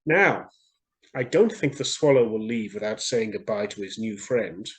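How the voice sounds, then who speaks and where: Theatrical male storyteller, very animated pitch. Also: moderate pace. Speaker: storyteller for children. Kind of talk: expressive story reading for children.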